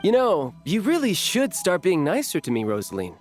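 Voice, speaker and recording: A playful young male fighter in a game, quick, breezy and teasing. His pitch swings widely as he needles others. Faint music plays behind. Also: wildly swooping pitch. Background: soft game music.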